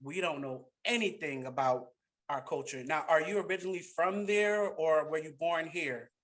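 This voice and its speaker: Bright-voiced man hosting a chat. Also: a little high, moderate pace. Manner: relaxed conversational questioning. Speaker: podcast host. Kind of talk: casual interview chat. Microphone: headset on video call.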